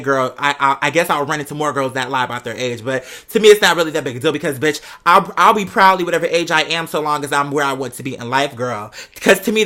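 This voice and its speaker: A man's voice: on the high side, pushed and bright. An American man chatting casually like a gossipy host, with a sassy manner and dramatic emphasis as he tells personal stories. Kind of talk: casual chat, gossipy storytelling.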